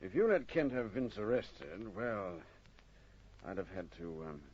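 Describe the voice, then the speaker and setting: An actor playing a patronizing, menacing gangster with a husky voice in an old radio crime drama. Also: male voice, fairly low pitch, sing-song, measured pace, a little mellow, exaggerated voice. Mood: coolly threatening. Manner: patronizing, veiled threats. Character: menacing, smooth-talking male crime boss or henchman. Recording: muffled old radio recording.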